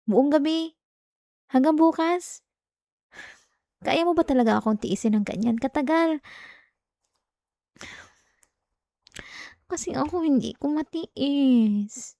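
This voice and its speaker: Casting: woman as young jealous girlfriend, higher mellow voice, coaxing and whiny, intimate ASMR roleplay, close dry sound. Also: dry recording. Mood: pouting, hurt. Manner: coaxing, whiny, with sighs and hesitations. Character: clingy, jealous young girlfriend, pleading and pouting. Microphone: close, dry microphone.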